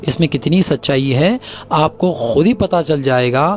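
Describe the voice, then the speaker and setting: A man's voice: on the high side, moderate pace. A man with a Hyderabadi accent addressing viewers in an accusatory, emphatic way, repeating his phrases. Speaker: host of a clarification video.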